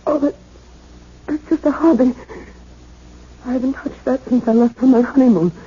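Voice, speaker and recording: An actress playing a distraught, high-strung young woman in a 1970s radio drama, pleading, insistent and tearful, with halting repetitions. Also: warm tone, slightly rough. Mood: subdued, sad. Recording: muffled vintage radio broadcast.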